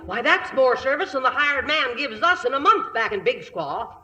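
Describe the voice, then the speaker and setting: A woman's voice: sits high, very animated, normal speed, sharp tone, heavily acted. A woman playing a brash, scheming frontier mother in an old radio comedy, with a rural Western American accent and folksy, blunt talk. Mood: brassy.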